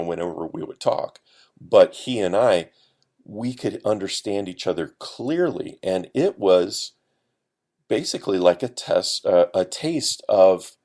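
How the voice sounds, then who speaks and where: A man from the United States talking as a podcast guest, telling stories casually in a halting, explanatory way. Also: low voice, darkish tone. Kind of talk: casual conversational storytelling.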